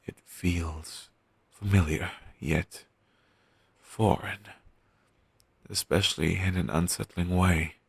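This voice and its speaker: A man playing a morose, self-deprecating zombie best friend in an audio roleplay, speaking haltingly and deadpan in a gravelly, husky voice. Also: sits low, no room sound, heavily acted. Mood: haunted, reflective. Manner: deadpan, halting, listless delivery with long pauses. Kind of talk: audio roleplay, intimate scripted monologue addressed to listener.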